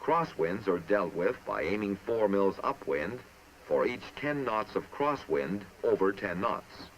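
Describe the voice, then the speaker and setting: A man narrating a military training film in a formal, measured, instructive manner, reading from a script. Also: on the low side, sing-song. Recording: muffled, noisy old film soundtrack.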